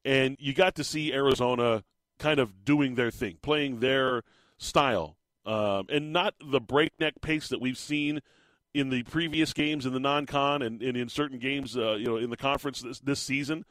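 Slightly raspy sports radio host. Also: male voice, mid-range voice, normal speed, fairly bright tone, dry recording. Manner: chatty, rambling with fillers and restarts. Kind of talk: morning sports talk radio.

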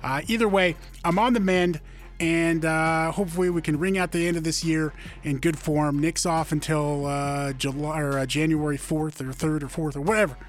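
A male radio host talks in a loose, rambling way, with lots of fillers and side remarks. His voice is hoarse and sits a bit high for a man. Soft music plays faintly underneath. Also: steady tone, normal speed. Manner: rambling, filler-heavy, self-deprecating. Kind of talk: casual radio chat.

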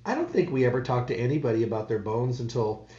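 A man's voice: mid-range voice, lively intonation, fast talker, dark tone, echoey room, lo-fi sound, faint music behind. A man hosting a radio talk show, folksy and rambling with many restarts. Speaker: radio host. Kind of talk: casual radio chat.

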